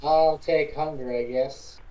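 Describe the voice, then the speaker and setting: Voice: medium pitch, steady tone, takes it slowly, warm tone, rough recording. A laid-back co-host in a casual chat, loosely profane while picking parts for a story reading.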